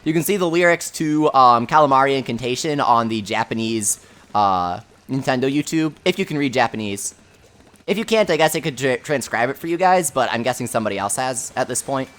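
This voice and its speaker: A man hosting a game livestream with a high voice, answering viewer questions in a chatty, offhand way full of fillers. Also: animated, a little fast, fairly bright tone. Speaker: livestream host. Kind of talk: casual livestream chat, reading viewer questions.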